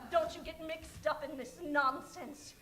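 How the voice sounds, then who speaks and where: A woman's voice: high-pitched, steady tone, unhurried, sharp tone. A woman playing a haughty, tough-talking scheming princess villainess in an improvised radio parody, with a theatrical delivery. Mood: scornful, tough. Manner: imperious, slangy, theatrical. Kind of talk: improvised old-time radio acting.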